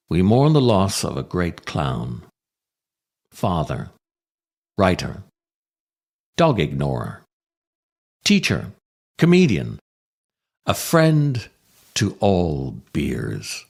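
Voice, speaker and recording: Low-voiced man, hugely expressive comic monologue. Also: vocal fry. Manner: wry, deliberate, dramatic pauses. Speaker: podcast host, writer and actor reading his own work. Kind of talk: scripted comic monologue, theatrical narration.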